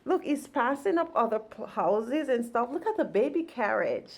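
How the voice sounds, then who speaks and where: A woman's voice: on the high side, very animated, moderate pace. A woman reading a picture book aloud to her young child in a casual, encouraging way, chatting and echoing the child's words. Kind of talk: casual interactive story time read-aloud.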